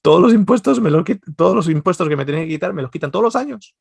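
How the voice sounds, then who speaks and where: Gravelly Spanish man, animated speaker. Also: sits high, very fast, no echo. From Spain, Basque Country. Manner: animated, digressive, many restarts and stammers. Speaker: seminar speaker. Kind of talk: religious seminar talk.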